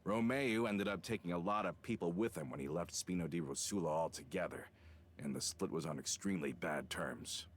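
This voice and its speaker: A very deep, gruff male voice for a video game , played by an actor. He is a loyal older veteran who explains past troubles in earnest. Faint noise sits behind him. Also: a little fast, natural voice. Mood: grave explaining.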